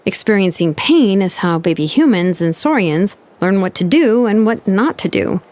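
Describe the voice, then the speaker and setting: A woman playing a wry, animated young engineer. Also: medium pitch, normal speed, a little hiss or hum, natural voice. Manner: wry, musing aloud, animated.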